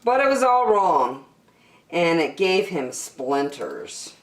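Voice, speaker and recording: A woman reads a picture book for young children at an unhurried pace. Her pitch rises and falls expressively, with key words drawn out. The room around her is echoey. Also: very animated. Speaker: storyteller. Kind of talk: animated children's storybook reading.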